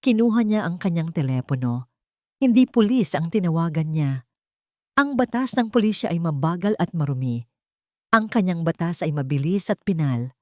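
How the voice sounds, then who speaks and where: A woman narrating a scripted dramatic story as an audiobook, with solemn, deliberate phrasing. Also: fairly low pitch, expressive, darkish tone, no echo, clean studio sound.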